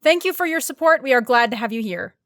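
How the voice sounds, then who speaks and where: A woman's voice: fairly high pitch, animated, quick pace, fairly bright tone, dry recording, clean studio sound. A woman reading a scripted podcast announcement as the show's director. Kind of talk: scripted announcement, thanking supporters.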